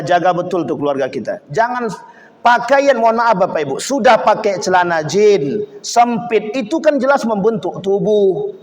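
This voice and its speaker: A high-pitched male preacher delivers a lively sermon. He acts out the voices of the people he quotes and turns to his congregation with questions. His voice carries a long reverb from a large hall.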